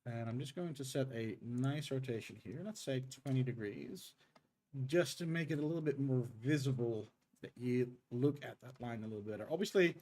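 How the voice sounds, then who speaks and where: A middle-aged man with a tired throat, streaming live game development and talking through his code in halting, unhurried steps. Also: mid-range voice, a little mellow. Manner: halting, thinks aloud with many pauses and um's. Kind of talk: live coding stream, thinking aloud.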